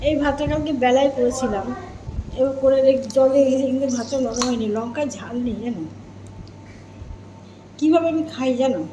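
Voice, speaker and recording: High-voiced woman chatting in an echoey room. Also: brisk, pauses often, crowd noise. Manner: repeats phrases, addresses viewers with questions. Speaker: home cooking and eating vlogger. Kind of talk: casual chatty vlog talk to viewers. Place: indoors at home. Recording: echoey room with clear background noise.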